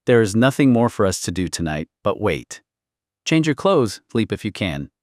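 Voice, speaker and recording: A commanding queen , as a male audiobook narrator reads her, grave and imperious in a historical saga. The voice is low and sits in a dry, close sound. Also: sing-song, normal speed, a little mellow, no echo. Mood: somber, resolute. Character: commanding middle-aged queen, an anxious, grave mother. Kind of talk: audiobook character dialogue.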